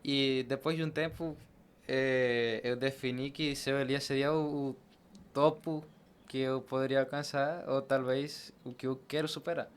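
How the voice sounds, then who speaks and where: A man's voice: mid-range voice, fairly even intonation, a little slow. A man with a Venezuelan accent, a native Spanish speaker, answering conversationally as a podcast guest. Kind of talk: conversational interview answers, personal anecdotes. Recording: clear background noise.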